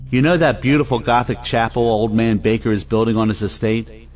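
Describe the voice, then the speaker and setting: A man's voice: mid-range voice, steady tone, fairly quick, darkish tone, soft background music, lightly acted. A man playing a wealthy, domineering family patriarch in an audio play, blustering and persuasive. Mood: coaxing.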